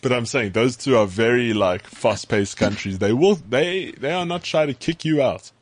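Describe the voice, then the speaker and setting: A man's voice: medium pitch, animated, normal speed, dry recording. A man with a South African accent, co-hosting a podcast in casual chat and telling stories with a laugh. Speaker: podcast co-host.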